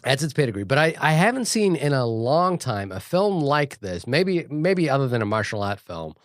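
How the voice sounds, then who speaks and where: A man's voice: mid-range voice, sing-song, normal speed, no room sound, studio quality. Man with a mixed Fijian and New Zealand accent. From Fiji, then New Zealand. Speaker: podcast co-host, filmmaker. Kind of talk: casual chat.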